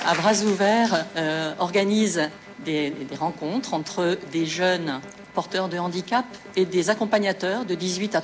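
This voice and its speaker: A woman, director of a welcome centre, giving a measured, formal welcome speech with frequent hesitations. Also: lowish voice.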